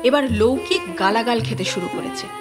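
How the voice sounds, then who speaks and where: A woman's voice: a little high, brisk, over loud music. A woman narrating a scripted story in a dramatic storytelling style.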